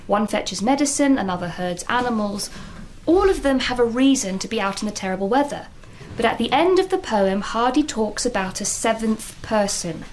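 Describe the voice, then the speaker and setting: Actress doing british woman teacher, earnest n worried, highish voice, radio play, bit of background noise. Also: animated, moderate pace, lightly acted. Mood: earnest, lecturing. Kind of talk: naturalistic British radio drama acting.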